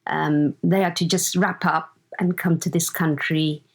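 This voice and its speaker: A woman's voice: low-pitched, steady tone, measured pace. A woman in her fifties, born in Uganda, chatting as a podcast guest and searching for words as she tells her story. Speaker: guest, host's mother. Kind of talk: casual conversation.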